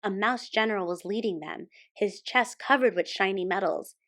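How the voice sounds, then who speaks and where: A woman with a mid-pitched voice narrates a children's picture book. She is a storytime host who reads expressively from the text. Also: normal speed, a bit bright.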